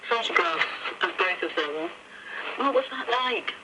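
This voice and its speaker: A woman's voice: on the low side, animated, a little slow. A woman presenting and interviewing on a radio programme, heard over shortwave. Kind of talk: interview questions and linking narration. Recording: very noisy, crackly shortwave radio reception.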